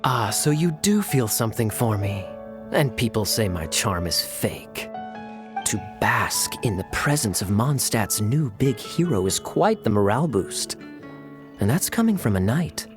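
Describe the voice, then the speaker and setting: Casting: silver-tongued rogueish knight for fantasy game, theatrical, very lively intonation, over music. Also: male voice, lowish voice, unhurried. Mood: flirtatious. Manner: smooth-talking, teasing, theatrical. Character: suave male knight captain, sly charming flatterer. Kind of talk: English video game character acting.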